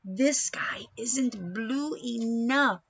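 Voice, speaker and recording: A woman's voice: on the high side, extremely slow, vocal fry, fairly bright tone, husky, dull audio, exaggerated voice. A woman voicing a caring, dreamy mother from a children's storybook. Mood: dreamy, wistful. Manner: dreamy reminiscing, with firm calls of caution.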